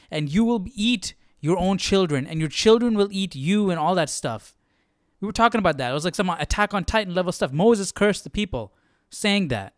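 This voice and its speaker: Dude reacting on a bible study stream, higher voice, casual and kinda disbelieving, dry mic. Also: male voice, dry recording. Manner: incredulous, exclamatory, repeats himself. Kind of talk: casual live reaction commentary.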